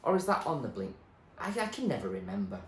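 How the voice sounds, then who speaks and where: A man's voice: mid-range voice, sing-song, fast, voice pushed up, natural voice. A man reading aloud who voices an odd old man who rambles and speaks in riddles, in an echoey room. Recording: echoey room, faint noise.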